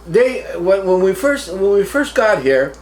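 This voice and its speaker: An Italian-born man talks conversationally, animated and quick to laugh. His pitch swings widely as he speaks. The room echoes around him over a faint background hiss. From Italy. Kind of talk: conversational interview. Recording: roomy with faint background noise.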